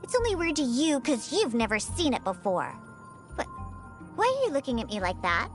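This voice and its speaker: A woman's voice: high-pitched, very animated, normal speed, faint music behind. A woman voicing a proud, grumpy young cat-girl bartender in a video game, huffy and stammering when flustered. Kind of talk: video game character voice lines.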